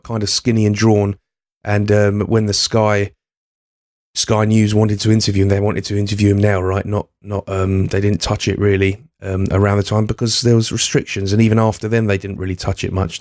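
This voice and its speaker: Hoarse male podcast host with a cold. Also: lowish voice, darkish tone, dry recording, studio quality. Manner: hesitant, many ums and restarts. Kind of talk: casual podcast talk.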